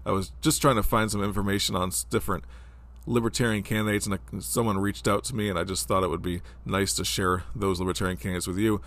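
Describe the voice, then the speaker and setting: A man's voice: fairly low pitch, fairly bright tone. A man in his forties hosting a casual solo podcast, talking in a rambling, conversational way with lots of fillers, his voice stuffed up from a cold. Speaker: podcast host.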